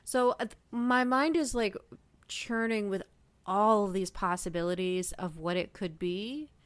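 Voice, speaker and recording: A woman with a smooth, slightly low voice talks through her ideas at a slow pace. She is thoughtful and speculative, like a panelist on a casual fan podcast. The recording is close and dry. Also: dry recording.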